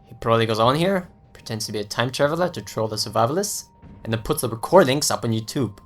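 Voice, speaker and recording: A man playing a young American slacker friend in an audio story, sarcastic, slangy and excitable. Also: medium pitch, quick pace, close to an everyday voice. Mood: dismissive, amused. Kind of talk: dramatized audio story dialogue.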